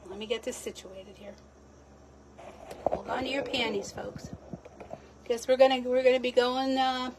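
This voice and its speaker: A middle-aged woman losing her voice, hosting a live craft stream from her home desk in a chatty, rambling, self-deprecating way. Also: fairly high pitch. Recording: distant from the mic, faint room noise.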